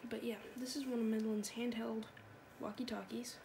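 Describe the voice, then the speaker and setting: A woman's voice: fairly high pitch, level tone, normal speed. A woman vlogging casually, muttering and thinking aloud as she browses at a computer. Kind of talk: casual chat while browsing online. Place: indoors at a home computer. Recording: clearly noisy home recording, loud background noise.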